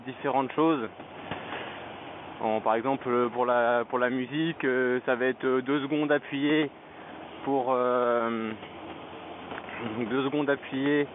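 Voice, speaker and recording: A man giving a casual, unscripted product review as a vlogger, hesitant and often searching for words, speaking into a helmet headset microphone. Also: medium pitch. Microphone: Bluetooth motorcycle helmet headset microphone. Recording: tinny narrow-band helmet intercom mic, background noise.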